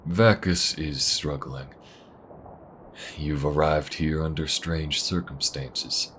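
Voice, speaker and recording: A man playing a wary, protective chop shop owner in a space western audio roleplay, talking slowly and intimately in folksy phrases. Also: extremely low pitch, a bit bright, a little hiss or hum, heavily acted. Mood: grave, concerned. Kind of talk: audio roleplay acting, spoken intimately to the listener.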